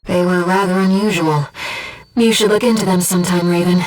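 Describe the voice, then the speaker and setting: A woman voicing a gentle, earnest, disembodied female companion in a video game, guiding a pilot with composed, precise callouts and briefings. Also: on the low side, steady tone, fairly quick, recorded from a distance, natural voice, low-quality recording. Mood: composed, informative. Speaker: mission support companion.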